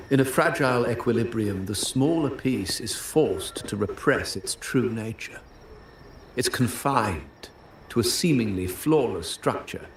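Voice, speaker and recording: An actor playing a suave, philosophical gentleman in a video game, speaking in measured, poetic phrases. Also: lowish voice. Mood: grave, reflective. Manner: measured, poetic, smooth-mannered. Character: suave, philosophical gentleman with veiled protective intent. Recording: roomy echo, faint background noise.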